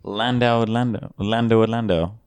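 A man whose accent blends Welsh and Indian chats easily on a podcast. His voice is low and smooth. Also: fairly even intonation, moderate pace, darkish tone, no room sound. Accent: mixed Welsh and Indian. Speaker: podcast guest. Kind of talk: casual podcast chat.

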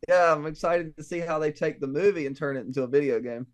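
Man on a podcast, hesitant, filler-heavy, slightly higher voice. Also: fast talker. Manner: hesitant, lots of ums and likes. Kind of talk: casual podcast chat.

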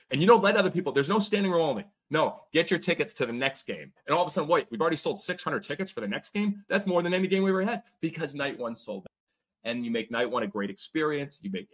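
A man talking as an interview guest, telling stories with energy and growing excited. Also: medium pitch, fast. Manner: energetic, animated storytelling. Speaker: guest, sports team owner. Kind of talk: casual interview talk.

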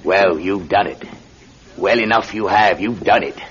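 An actor playing a blunt, eager working-class British landlord with a betting habit in a classic radio drama, speaking colloquially. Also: male voice, sits low, unhurried. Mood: excited, delighted. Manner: colloquial, uses "me" for "my". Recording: muffled radio recording with clear noise.

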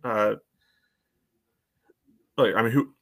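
Lowish voiced guy on a sports podcast, breaking stuff down casually, lots of you knows, halting. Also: a bit bright. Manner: hesitant, measured, frequent "you know" hedging. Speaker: soccer podcast co-host, analyst.

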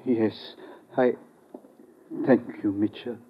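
A man acting an earnest, anxious foreign doctor with formal, halting speech in a 1950s radio drama. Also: lowish voice, fairly even intonation. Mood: shaken, earnest. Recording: muffled, very noisy old radio broadcast.

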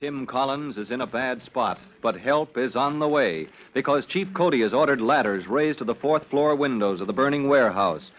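A man's voice: mid-range voice, expressive, moderate pace, hardly any pauses. A man narrating as a serial announcer in a dramatic, declamatory old-time radio style. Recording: muffled old radio recording.

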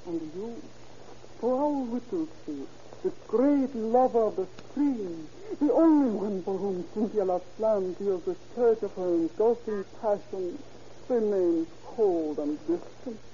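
Muffled old radio with faint noise presents a grandiose film diva. She sighs theatrically in a very mellow, dark voice, full of affected self-pity. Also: mid-range voice, expressive, takes it slowly. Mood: lovelorn, melodramatic. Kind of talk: old-time radio acting.

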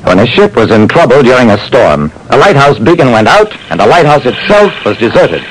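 A man's voice: mid-range voice, sing-song, a little fast, close to an everyday voice. An actor playing a male investigator who narrates his own adventure in a theatrical, clipped classic radio style. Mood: suspenseful. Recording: noisy old radio broadcast.

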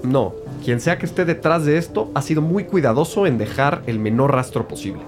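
An actor playing a diligent police investigator who reports methodically in an audio drama. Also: male voice, mid-range voice, animated, fairly quick, over music, close to an everyday voice. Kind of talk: scripted audio drama dialogue.

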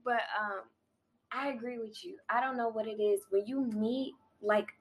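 A woman's voice: fairly high pitch, fairly even intonation, normal speed. A woman in her twenties hosting a podcast, chatting in a gushing, casual way with many "likes" and "oh my Gods.". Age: 20s. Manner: chatty, gushing, lots of "like" and "oh my God". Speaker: podcast host.

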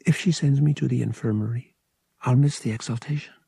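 A man voicing a guarded, soft-spoken young woman, a devout pilgrim worker, polite and reticent, in an audiobook. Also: medium pitch, fairly quick, vocal fry, breathy edge, dry recording, studio quality. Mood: pleading.

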